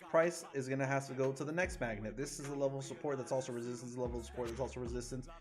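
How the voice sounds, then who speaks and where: Man, from Chile, livestream trader, chatty, excitable, swears a lot, average pitch, faint background music. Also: racing. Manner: excitable, profane, chatty with viewers. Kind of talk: casual livestream commentary.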